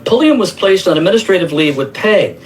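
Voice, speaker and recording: A man's voice: high-pitched, very animated, a little fast, rough recording. A man reporting a news story in broadcast style.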